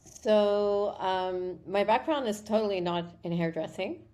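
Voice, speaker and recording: A woman's voice: medium pitch, unhurried, mellow. A woman from Morocco, a guest founder of a hair product company, telling her story in a chatty interview and restarting sentences often. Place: Montreal.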